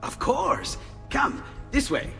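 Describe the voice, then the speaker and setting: An actor playing an eager, excitable young inventor and painter in a video game, with an Italian accent. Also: male voice, very high voice, takes it slowly, creaky, exaggerated voice. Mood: delighted. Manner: excitable, animated. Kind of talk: video game cutscene dialogue. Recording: reverberant, music behind.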